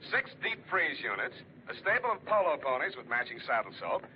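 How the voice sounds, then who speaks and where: A man's voice: mid-range voice, very animated, fairly quick, muffled recording, close to an everyday voice. A man acting as a sardonic, hard-boiled American private detective in a 1940s radio drama, glib and bantering. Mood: zany, mocking. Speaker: radio actor playing the lead detective. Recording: noisy, band-limited old radio recording.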